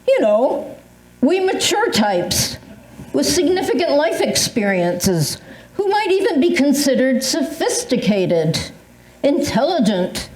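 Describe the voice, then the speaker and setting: A woman's voice: high-pitched, big pitch swings, slow pace, a little mellow, heavily acted. An older woman in an amateur staged reading, playing a dramatic senior convinced of conspiracies, with a theatrical, emphatic delivery. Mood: indignant, suspicious. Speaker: amateur readers theatre performer. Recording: echoey room recording.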